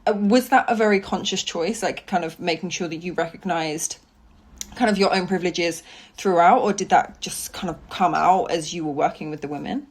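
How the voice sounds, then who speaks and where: A young woman asks an author questions in a relaxed, chatty way, padding her sentences with "like" and "kind of". Her voice sits a bit on the low side. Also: normal speed. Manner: chatty, heavy use of "like" and "kind of". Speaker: interviewer. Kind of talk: casual interview questions.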